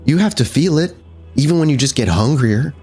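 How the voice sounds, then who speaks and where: A man voicing a wary, caring female vampire who speaks in halting, earnest bursts with many restarts. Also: fairly high pitch, animated, mellow, slightly rough, soft background music, voice pushed up. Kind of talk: in-character roleplay dialogue.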